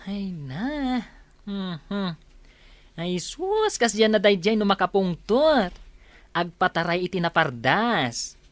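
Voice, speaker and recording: A woman's voice: mid-range voice, sing-song, takes it slowly, no room sound. A woman with an Ilocano accent playing a concerned, scolding confidant in a radio drama, colloquial and full of exclamations. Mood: alarmed scolding.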